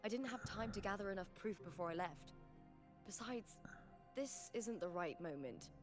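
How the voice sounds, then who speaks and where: A proud young arena warrior from a video game, played by an actress. She speaks with self-assured, matter-of-fact confidence at an ordinary female pitch. Loud game music plays behind her. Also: expressive, normal speed, close to an everyday voice.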